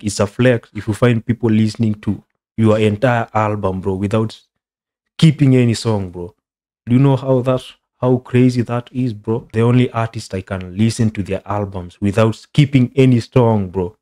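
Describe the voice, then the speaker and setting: A man's voice: fairly low pitch, moderate pace. A young man from Kenya with a Kenyan accent, chatting casually as a content creator in English, which is not his first language, and dropping "bro" again and again. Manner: relaxed, says "bro" a lot, rambling. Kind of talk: casual reaction commentary.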